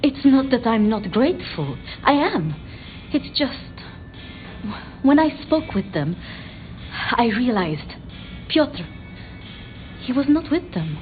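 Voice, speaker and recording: A woman voicing a timid, bookish, deferential vampire scholar in video game dialogue, speaking haltingly and apologetically. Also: on the high side, big pitch swings, narrow, tinny audio. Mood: halting grief. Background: background music.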